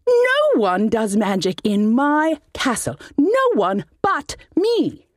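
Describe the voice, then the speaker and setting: A woman voicing a pompous, menacing male wizard tyrant who makes deliberate threats in an audiobook story. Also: high-pitched, huge pitch swings, dry recording, studio quality, pitched above the performer's own voice, full character voice. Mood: suspicious, threatening.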